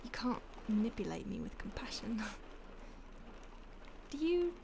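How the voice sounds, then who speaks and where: A woman playing an ancient, detached vampire stalker in an intimate audio roleplay, with a breathy voice that often drops to a whisper and a languid, teasing manner. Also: on the high side, sing-song, slow, noisy background. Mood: dismissive, condescending. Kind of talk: intimate audio roleplay, ASMR-like acting addressed to the listener.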